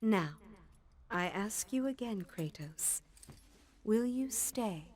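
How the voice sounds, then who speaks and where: An actress playing a sultry goddess of love in a video game, seductive, unhurried and disdainful. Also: female voice, medium pitch, expressive, a little mellow. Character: sultry, languid goddess of love, teasing and dismissive. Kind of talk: dramatic video game voice acting.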